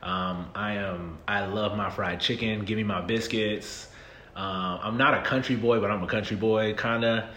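Candid low-voiced man in his thirties. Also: normal speed. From Southern United States. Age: 30s. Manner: relaxed and candid, frequent "um" and "you know what I mean". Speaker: podcast guest. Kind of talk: casual interview chat. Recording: echoey room with faint noise.

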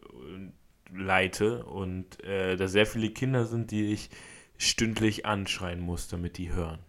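A slightly hoarse young man from Germany, hosting a casual solo podcast and telling a story in an excitable, rambling way with many fillers and restarts. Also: sits low, slow. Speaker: podcast host.

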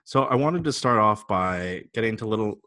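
Low-voiced man hosting a podcast. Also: expressive, moderate pace, sharp tone. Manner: hesitant, filler-heavy questions. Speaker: podcast host. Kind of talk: scripted intro then interview questions.

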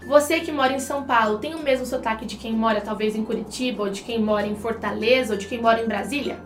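A woman's voice: on the high side, quick pace, reverberant, soft background music. A Brazilian woman co-hosting an online English lesson, chatting to viewers as she explains things with examples. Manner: explanatory, addresses viewers directly, uses examples. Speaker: English teacher and co-host. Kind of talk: conversational language lesson for online video.

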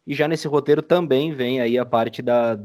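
A man's voice: medium pitch, moderate pace, a little mellow. A Brazilian man explaining things casually as a podcast guest. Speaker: podcast guest, audio drama maker.

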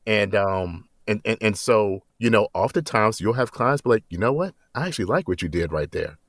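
A man who works as a voice actor, speaking as an interview guest in a relaxed way and often checking in with "you know.". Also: lowish voice, moderate pace, no echo, clean studio sound. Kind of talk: conversational interview.

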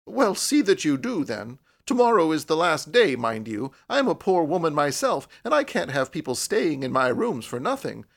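An impatient, poor landlady from an audiobook, acted out by a man, nags and complains insistently. The voice sits high and pushes forward with a scolding, no-nonsense temper. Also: very animated, normal speed, vocal fry, hardly any pauses, a bit bright, full character voice. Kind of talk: audiobook character voice.

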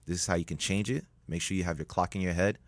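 A man telling a story as a podcast guest, animated, jumping up in pitch when he jokes. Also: fast, no echo. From United States. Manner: animated, rises sharply in pitch when joking. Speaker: guest, public speaker.

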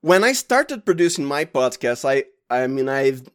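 A man's voice: medium pitch, very animated, moderate pace, clean tone. A man from Brazil with a Brazilian accent, a native Portuguese speaker, talking casually as an interview guest and often restarting his phrases. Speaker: guest, podcast producer and sound designer.

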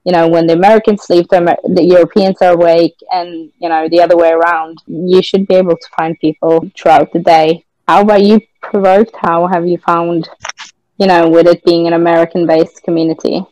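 A Norwegian woman hosts in chatty English with a British lilt and a Norwegian tinge. Her voice sits low for a woman. The sound is dry and close. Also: little pitch movement, a little fast, dark tone, no room sound, low-quality recording. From Norway. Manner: chatty, filler-heavy. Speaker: podcast host. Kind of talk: casual chat.

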